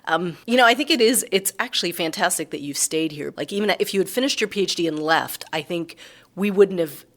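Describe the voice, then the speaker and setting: A woman's voice: on the low side, fairly quick. A woman in her fifties, a scientist in an engaged conversational interview who asks follow-up questions. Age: 50s.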